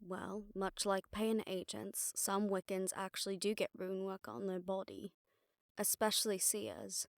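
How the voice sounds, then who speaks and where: A woman playing an exasperated, sharp-tongued witch detective in an audio roleplay, talking casually and wryly to a partner. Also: mid-range voice, measured pace, dry recording, studio quality, natural voice. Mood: focused, explaining. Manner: casual, wry, mildly swearing, explanatory. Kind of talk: conversational audio roleplay acting.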